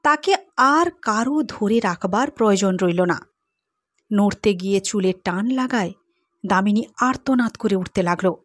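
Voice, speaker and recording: A woman narrating a classic literary short story in a measured storytelling manner. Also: mid-range voice, expressive, no room sound. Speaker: audiobook narrator.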